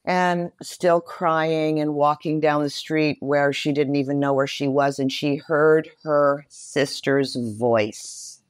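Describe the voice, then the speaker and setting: A woman's voice: low voice, steady tone, slow pace, hardly any pauses, no echo, studio quality. A middle-aged woman speaking as an interview guest, telling personal stories expressively, repeating phrases for emphasis. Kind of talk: personal storytelling in conversation.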